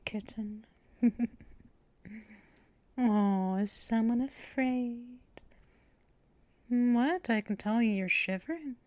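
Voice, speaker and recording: A woman voicing a possessive, teasing female vampire mistress in an ASMR audio roleplay, half-whispering in a breathy, intimate way. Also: a little high, darkish tone, dry recording.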